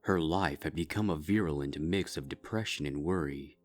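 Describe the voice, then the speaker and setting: A man reading a dark fanfiction story aloud in a slow, grave, steady narrating style. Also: a bass voice, faint music behind. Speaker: fanfiction reader.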